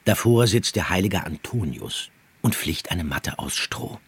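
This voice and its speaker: A man narrating a radio drama in a measured, poetic, descriptive way, as a grave scene-setter. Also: low voice, expressive, husky, full character voice. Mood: solemn, descriptive. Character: grave, unhurried scene-setting male narrator.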